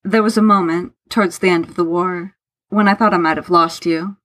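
A woman's voice: mid-range voice, fairly quick, fairly bright tone, natural voice. A woman voicing a guarded, bitter man who speaks in a curt, matter-of-fact, formal and sardonic way, as in an audio story. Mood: calm, careless. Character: Tharke, guarded, bitter, self-deprecating man hiding love.

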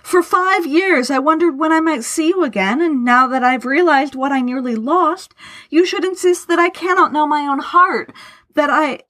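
A woman's voice: a little high, a little fast, voice pushed up, close to an everyday voice. A woman voicing an earnest, hurt man who pleads passionately in formal, old-fashioned phrasing, as in an audio story. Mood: anguished, pleading.

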